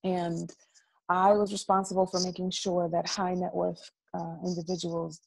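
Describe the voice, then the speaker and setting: A woman from the Dominican Republic, a guest on a video call interview, telling stories in a lively, animated way and often repeating words. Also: fairly low pitch, normal speed, creaky, dry recording, rough recording. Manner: lively, animated storyteller who repeats words as she talks. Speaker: guest, business coach. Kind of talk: casual interview storytelling. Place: dorm room.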